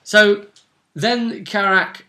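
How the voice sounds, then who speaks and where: A man's voice: high-pitched, extremely slow, sharp tone. A man chatting casually as a game master, recapping a tabletop role-playing session and acting out bits of dialogue as he goes. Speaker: game master and video host.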